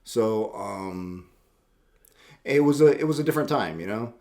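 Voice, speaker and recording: A man's voice: lowish voice, expressive, unhurried, gravelly, darkish tone. A middle-aged man from Puerto Rico, a tattoo shop owner telling his story in casual interview chat, easygoing and rambling with repeated words.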